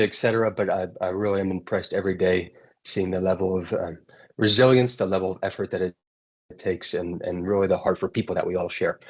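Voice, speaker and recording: An American man hosting an online webinar, introducing the event and speakers in a relaxed, rambling way. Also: deep voice, breathy edge. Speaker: webinar host.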